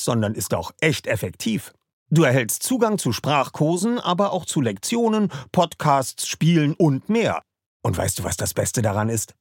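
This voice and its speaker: A man's voice: medium pitch, slightly rough, no echo, clean studio sound. A man giving a scripted, upbeat advertisement read. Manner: lively, salesy. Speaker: ad reader.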